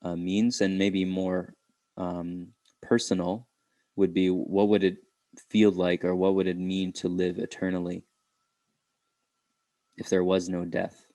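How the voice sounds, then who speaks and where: A man leading an unscripted guided meditation, speaking reflectively in halting phrases with pauses. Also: low voice, level tone, mellow, no echo.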